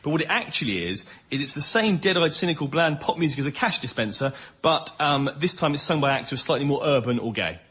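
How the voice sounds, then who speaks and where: A man's voice: on the high side, animated, fast talker, few pauses, a bit bright. A man riffing comically as a panel show guest, with an incredulous, mock-exasperated way of ranting. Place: radio panel show before a live audience. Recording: noisy live recording with audience.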